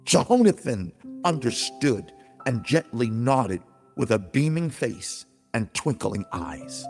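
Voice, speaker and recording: A man reading scripted, dramatized audiobook narration in a solemn, emphatic, deliberate storytelling manner. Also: a little high. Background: music under the voice.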